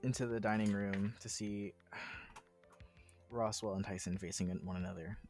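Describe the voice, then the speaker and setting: A man's voice: lowish voice, steady tone, normal speed, darkish tone, faint music behind. A man reading a visual novel aloud in a casual way, breaking in with informal, sweary commentary. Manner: informal, profane, interjecting.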